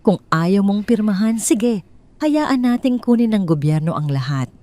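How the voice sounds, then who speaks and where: A woman voicing a calm, calculating wife who reassures and persuades her husband in an audio drama. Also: mid-range voice, measured pace, voice pushed up, lightly acted. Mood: pleading pressure.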